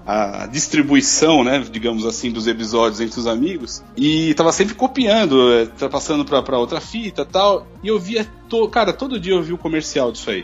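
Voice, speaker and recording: Man with a dull, muffled podcast sound. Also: on the high side. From Brazil. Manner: relaxed storytelling, uses "cara" often. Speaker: podcast co-host. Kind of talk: casual podcast chat.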